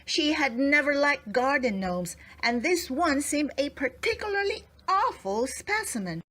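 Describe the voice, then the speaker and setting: A woman's voice: high voice, sing-song, a little slow, sharp tone. A woman narrating a children's storybook in a scripted read-aloud style.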